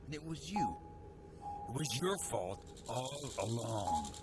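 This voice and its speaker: A man's voice: medium pitch, very animated, slow pace, soft background music. A man playing a brash, hot-headed young American pilot in a radio adventure serial. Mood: bitter, angry. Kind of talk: old-time radio adventure serial acting.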